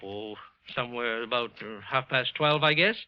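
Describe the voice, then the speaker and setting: A man's voice: medium pitch, normal speed, clear, resonant tone, lightly acted. A man acting a nervous, resentful clerk who secretly killed, with a hesitant, evasive manner, in an old-time radio suspense play. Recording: muffled vintage radio recording, background music.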